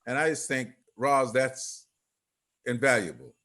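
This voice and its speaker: An older man giving informal remarks on a group video call in a halting, rambling way. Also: mid-range voice, lively intonation, a bit bright. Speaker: theatre company leader.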